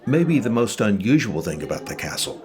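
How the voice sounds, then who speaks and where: A man narrating a travel show in a measured, even, scripted way. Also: on the low side.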